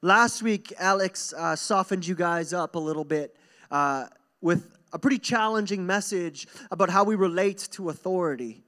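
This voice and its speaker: Young man, high-pitched voice, pastor, conversational sermon, earnest, informal, repeated phrases for emphasis, handheld mic. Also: moderate pace, pushed and bright. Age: young adult. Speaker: pastor preaching. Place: stage in a church hall. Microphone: handheld microphone.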